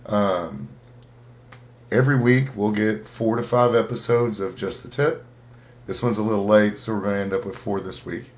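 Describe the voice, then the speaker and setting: A man with a fairly low voice hosts an informal show. He talks casually to his listeners, halting now and then as he works out his thoughts aloud. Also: steady tone. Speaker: host of a writing-tips show. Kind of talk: casual talk to listeners about storytelling.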